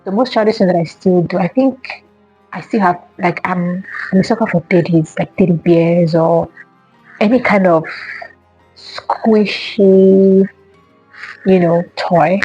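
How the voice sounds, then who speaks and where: Husky young woman chatting to camera. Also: lowish voice, measured pace, warm tone, no echo, highs cut off, faint music behind. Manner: rambling, repeats herself, many fillers. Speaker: vlogger. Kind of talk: casual solo chat answering questions.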